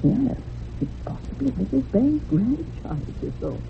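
A woman's voice: a little high, very animated, unhurried, gravelly, breathy edge, exaggerated voice. A woman playing a dismissive, evasive villager in a radio drama, brushing things off in a matter-of-fact way. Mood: evasive, explaining. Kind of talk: old-time radio drama dialogue. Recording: tinny, noisy old radio recording.

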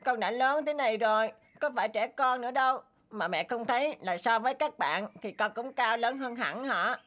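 A woman voicing a naive, boastful and stubborn young boy donkey in an animated fairy tale. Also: on the high side, normal speed, close to an everyday voice. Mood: whiny, defiant. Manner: boastful, whiny, talks to himself.

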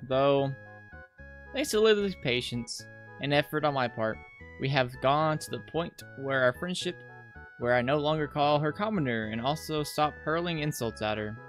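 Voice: mid-range voice, expressive, normal speed. A streamer reading a visual novel aloud as narration, stumbling now and then over the text. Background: background music.

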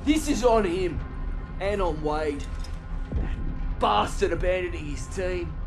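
High-voiced, bitter soldier. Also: male voice, lively intonation, slow pace, exaggerated voice. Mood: accusing. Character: bitter, suspicious soldier blaming his comrades. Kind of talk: cinematic video game acting. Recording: loud music behind.

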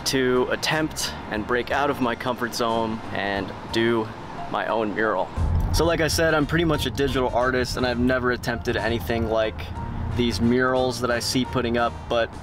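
A man's voice: medium pitch, normal speed. A man in his thirties with an American accent talking casually and enthusiastically to camera as an artist vlogger. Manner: upbeat, enthusiastic. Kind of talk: casual vlog talk to camera. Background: music.

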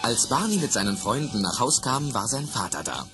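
A man narrating a children's radio drama in a measured, storybook way. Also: mid-range voice, big pitch swings, loud music behind the voice. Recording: noisy old tape recording.

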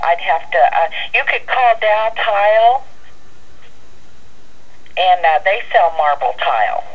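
Shop lady on a phone line, helping a customer, kinda unsure and halting. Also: mid-range voice, animated. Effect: telephone. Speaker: flooring shop employee. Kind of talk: customer service phone call. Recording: phone call played over speaker.

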